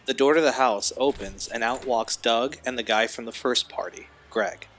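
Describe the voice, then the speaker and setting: A man's voice: medium pitch, normal speed, a bit bright, natural voice. A man narrating a scripted audio drama as its storyteller. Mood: measured.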